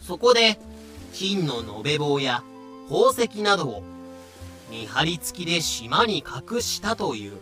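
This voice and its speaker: A man's voice: a little high, very animated, measured pace, pushed and bright, faint music behind. A man giving scripted, comedic narration for a pirate audio drama.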